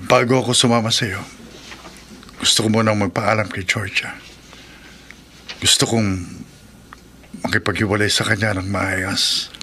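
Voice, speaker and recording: A man's voice: fairly low pitch, little pitch movement, measured pace, pauses often, pushed and bright, a little hiss or hum. A man playing a weak-willed, bewitched husband in a radio drama, hesitant and given to murmuring. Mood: smitten. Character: weak-willed, bewitched husband torn between two women.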